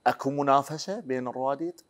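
A man's voice: medium pitch, racing. A man in his forties with an Iraqi accent, a talk show host asking probing questions in a studio interview. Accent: Iraqi Arabic. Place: TV studio set, indoors.

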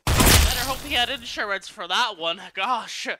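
A man's voice: extremely high pitch, lively intonation, measured pace, very noisy. A man delivering scripted voice-pack quips as a game announcer, with a sardonic manner.

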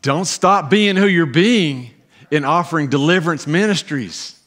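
A middle-aged man preaching a sermon as pastor on a church stage, animated, with pauses and emphatic rises. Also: on the high side.

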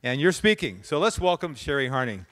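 An older man hosting, introducing the guest speaker at chapel with hesitant, halting talk on a handheld mic. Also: on the high side, big pitch swings, noisy background. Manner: hesitant, many uh fillers and restarts. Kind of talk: warm spoken introduction. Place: large dark auditorium stage. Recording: dry close handheld mic.

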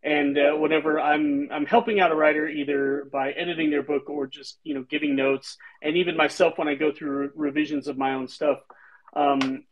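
A man's voice: on the high side, steady tone, moderate pace, lo-fi sound. A man hosting a casual chat on a video call, rambling thoughtfully with lots of fillers. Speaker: host and author. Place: home room, indoors.

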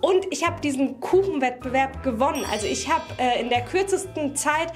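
A woman's voice: high-pitched, lively intonation, fairly quick, soft background music. A young woman telling a story casually as a player in a game. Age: young adult. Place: bright indoor room, standing in a group.

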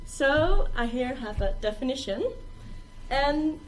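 A woman's voice: high voice, expressive, slow pace, slight background noise, rough recording. A young adult woman, a student giving a prepared presentation. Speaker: student speaker. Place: small stage in a presentation room.

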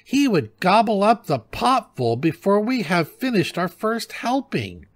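A man voicing a greedy, grumbling old woman in a storybook, with a shrill, scolding manner. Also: huge pitch swings, a little slow, exaggerated voice.

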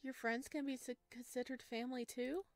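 A woman voicing a gentle, sheepish male forest creature in a visual novel, speaking softly and with naive curiosity. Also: sits high, fairly even intonation, brisk, no echo. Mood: quietly intrigued. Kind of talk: visual novel read-aloud.

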